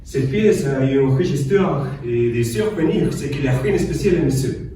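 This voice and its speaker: Dark mellow guy, quiz contestant telling a personal thing, super echoey. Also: medium pitch, expressive, normal speed. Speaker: game show contestant. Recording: very echoey, distant, faintly noisy room.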